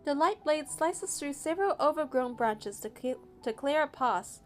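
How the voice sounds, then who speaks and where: A woman narrating a game playthrough, reading the story text aloud in a steady, even way. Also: high voice, moderate pace, faint music behind.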